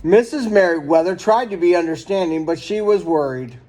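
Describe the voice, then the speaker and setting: High-voiced man reading a children's story. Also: normal speed, darkish tone. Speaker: storyteller reading a picture book. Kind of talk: children's story read-aloud.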